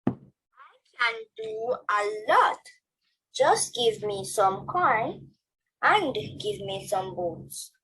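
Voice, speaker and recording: A woman's voice: medium pitch, sing-song, extremely slow, long pauses, pushed and bright, husky, exaggerated voice, low-quality recording. A woman reading the part of a clever, bold male talking cat in a careful read-aloud delivery. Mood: sly.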